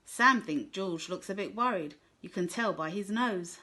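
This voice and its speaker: A woman reading a children's picture book aloud in a gentle, clear storytelling manner. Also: mid-range voice, lively intonation, unhurried. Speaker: storybook reader.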